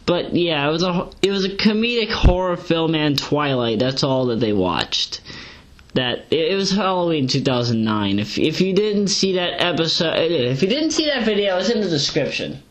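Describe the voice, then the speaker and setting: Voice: medium pitch, sing-song, moderate pace. A person giving a casual, rambling review as an audio log, often repeating and correcting themself, recorded at home. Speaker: amateur online reviewer. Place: at home, moving between kitchen and bedroom. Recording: muffled, noisy home audio with fan. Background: fan running.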